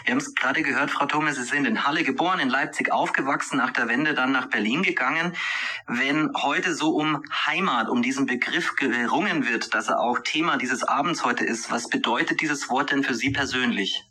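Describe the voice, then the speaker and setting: A man hosting a radio interview, asking his questions in a measured, formal manner. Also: medium pitch, sharp tone, husky.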